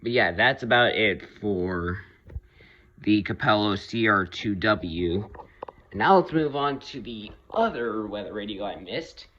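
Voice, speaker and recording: A man giving an unscripted, casual video review of his hobby collection, rambling a little and pausing mid-sentence. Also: on the low side, expressive, a bit bright, dull audio, slight background noise. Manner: halting, rambling delivery with pauses mid-sentence.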